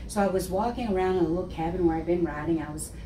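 A woman's voice: lowish voice, rapid-fire. A woman in her sixties with a heavy Texan accent, telling her faith story with big gestures and frequent laughter. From Texas. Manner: expressive, gestures constantly, laughs often. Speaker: guest speaker. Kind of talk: animated testimony. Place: wood-panelled office set with armchairs. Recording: roomy, distant, faint hiss.

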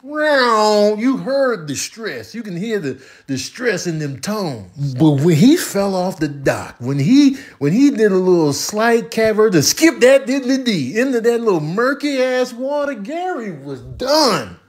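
A man's voice: sits high, very animated, a little slow. Theatrically exasperated American man doing comic commentary. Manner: theatrical, exasperated, inventive slang. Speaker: comedian doing voiceover commentary. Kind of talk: comic commentary with slangy ranting.

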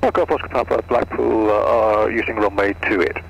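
A man's voice: on the low side, a little fast, creaky, highs cut off. A man speaking in clipped aviation phrases over an aircraft radio. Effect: aircraft radio transmission. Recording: noisy, crackly aircraft radio.

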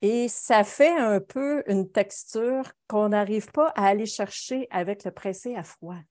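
A woman's voice: mid-range voice, a little slow, a little mellow. A middle-aged woman with a Quebec French accent gives an informal live painting lesson. She explains her method in a chatty, conversational way, speaking from her studio over a video call. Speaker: watercolour painting instructor. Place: home studio, indoors.

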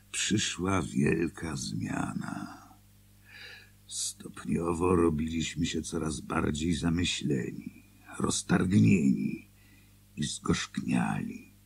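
Townsman narrator for radio drama: deliberate and slow, gravelly, dramatic, proud yet rueful. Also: rumbling low, darkish tone, heavily acted. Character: proud, then rueful small-town citizen telling his story.

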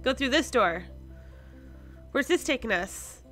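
A woman with a high, bright voice hosts a casual video game playthrough. She thinks aloud at an unhurried pace and breaks into exclamations of surprise. Faint music sits behind her. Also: lively intonation. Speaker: host of a gaming series. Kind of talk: casual let's play commentary.

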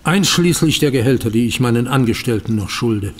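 An actor playing a dutiful, aggrieved banker, formal and earnest, in a German audio drama. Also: male voice, medium pitch, animated, fairly quick, big-room sound, close to an everyday voice. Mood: explaining.

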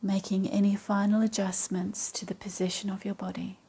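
A woman with a soft, breathy voice leading a guided meditation in a calm, measured way with long pauses. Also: mid-range voice. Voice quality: soft, breathy, partly whispery. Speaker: yoga nidra meditation guide. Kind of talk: guided meditation, slow and soothing instruction.

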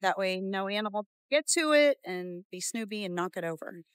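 A woman's voice: medium pitch, lively intonation, normal speed, slight background noise. A woman in her thirties talking casually to her viewers about farm chores while riding outdoors, filming on a phone at arm's length. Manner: chatty, explaining chores to viewers. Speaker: homestead vlogger. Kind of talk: casual vlog narration. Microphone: phone held at arm's length.

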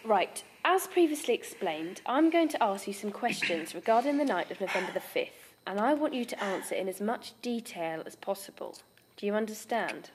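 A woman plays a calm, probing interrogator in a gothic audio drama. She speaks formally and in a measured way, at an average pitch. Noise is clearly audible behind her. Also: natural voice. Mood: firm, formal. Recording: clearly noisy amateur recording.

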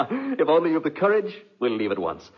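An actor playing an ardent, impulsive young lover in a 1940s radio drama, romantic, eager and theatrical. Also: male voice, on the high side, wildly swooping pitch, moderate pace, slightly rough, exaggerated voice. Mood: eager, coaxing. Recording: dull, muffled 1940s radio recording.

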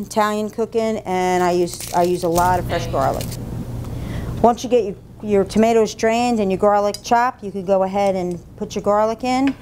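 A middle-aged woman giving a casual, plain-spoken cooking demonstration as an instructor in an indoor kitchen. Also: a little mellow. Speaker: cooking demonstrator, food and beverage director. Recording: low rumble, faint room noise.

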